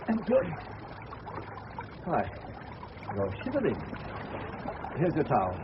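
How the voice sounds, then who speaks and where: Brisk kindly english gent giving orders, lively pitch, muffled old radio play. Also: male voice, noisy background, exaggerated voice. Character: brisk, kindly, authoritative English gentleman in charge. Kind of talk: 1970s radio drama acting.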